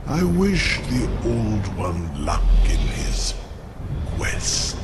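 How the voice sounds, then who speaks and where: A man playing a proud, formidable dragon in a fantasy video game, gravelly and grand, with declamatory, archaic formal speech. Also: low voice, very noisy, exaggerated voice. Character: proud male dragon, formidable warrior acknowledging a victor. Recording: loud music over the voice. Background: loud music.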